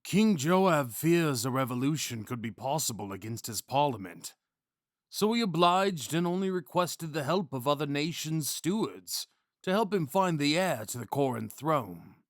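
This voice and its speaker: A knight commander, lively intonation, dry close sound. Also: male voice, a little high, normal speed, dry recording. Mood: explanatory.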